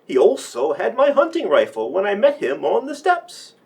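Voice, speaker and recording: An actor playing a stuffy, stammering lord in a comic radio mystery, slightly off the microphone. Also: male voice, high-pitched, wildly swooping pitch, normal speed, darkish tone, recorded from a distance, exaggerated voice. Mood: proper. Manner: proper, stammering. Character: stuffy, flustered lord of the manor. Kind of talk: old-time comic radio mystery acting.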